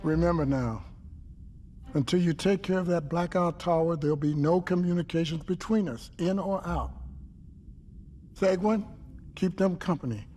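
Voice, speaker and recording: A man's voice: on the high side, normal speed, long pauses, dark tone, faint music behind, close to an everyday voice. An actor playing a wordy, fatherly resistance leader in a video game, with florid, folksy old-fashioned phrasing. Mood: encouraging, earnest. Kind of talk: video game cutscene acting.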